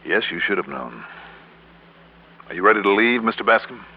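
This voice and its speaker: A hard-nosed investigator in an old-time radio detective drama. His voice is on the low side, measured and pointed. It comes through a slightly narrow vintage recording with music about. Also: big pitch swings, slight background noise. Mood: stern. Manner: probing, measured, accusing. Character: hard-nosed, steady male insurance investigator.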